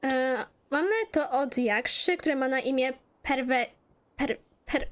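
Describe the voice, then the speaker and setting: A woman's voice: high voice, a little slow. A young adult woman giving casual let's play commentary as a gaming YouTuber. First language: Polish. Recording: music behind gaming commentary.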